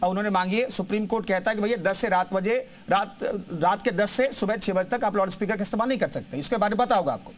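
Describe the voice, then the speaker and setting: Male debate host, very high voice, insistent, cuts in to steer live panel talk. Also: fast talker, hardly any pauses, slight background noise. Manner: insistent, interjecting, repeats guests' names. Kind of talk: live TV news debate moderation.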